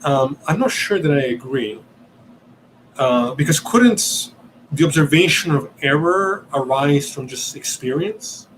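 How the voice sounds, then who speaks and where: A man's voice: a little high, lively intonation, a little slow, a bit bright, slight background noise, rough recording. Hesitant Italian-born man thinking aloud. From Italy. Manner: hesitant, searching for words, many ums and restarts. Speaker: podcast host answering questions.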